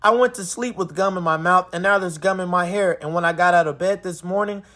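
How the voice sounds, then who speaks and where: A man's voice: high-pitched, fairly quick, sharp tone. A man voicing a sulky, indignant little boy in a children's picture book read-aloud, complaining in an exaggerated, dramatic way. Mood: grumbling. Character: sulky, whiny, indignant little boy having a bad day.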